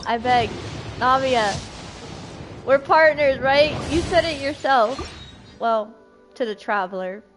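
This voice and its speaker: A woman giving casual live commentary as a game streamer, with an excitable, dramatic manner. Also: high voice, slow, long pauses, over music. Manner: excitable, dramatic, pleading exclamations.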